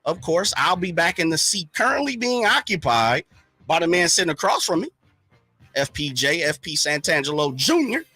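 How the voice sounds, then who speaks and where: Bright-voiced radio guy, slangy and hyped, dry studio sound. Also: fairly high pitch, sing-song, normal speed, husky, dry recording, faint music behind. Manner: hype, slangy and playful. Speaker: radio co-host. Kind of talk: sports radio chat.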